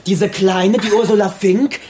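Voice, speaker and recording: An actor in a radio drama playing a crude, menacing criminal who talks in a mocking, sarcastic, colloquial way. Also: male voice, high voice, steady tone, measured pace, over music.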